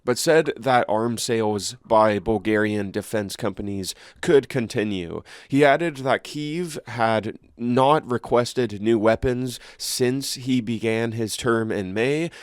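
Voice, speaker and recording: A man's voice: medium pitch, no echo, studio quality. A congested man hosting his own channel, reading news articles aloud and then chatting casually with fillers and restarts. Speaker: channel host.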